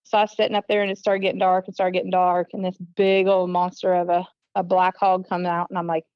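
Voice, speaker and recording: A woman's voice: lowish voice, fast, clear, resonant tone, dry recording, highs cut off. Chatty Southern woman telling hunting stories. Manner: chatty, animated, acts out quoted speech, many ums. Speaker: guest, competitive archer and teacher. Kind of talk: casual storytelling in an interview.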